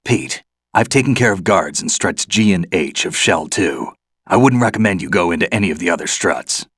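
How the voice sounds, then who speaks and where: A man's voice: low-pitched, animated, normal speed, fairly bright tone, breathy edge, no echo, studio quality, heavily acted. A man playing a cool, pragmatic seasoned soldier in a video game cutscene, talking in a terse, matter-of-fact way. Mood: cool, steady. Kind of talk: dramatic game cutscene acting. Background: background music.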